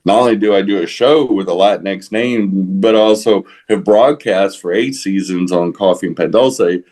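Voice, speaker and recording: A man with a Texas accent talking casually as a podcast co-host, halting often to repeat and rephrase his words. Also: sits low, darkish tone. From San Antonio, Texas. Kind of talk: casual opinionated podcast talk.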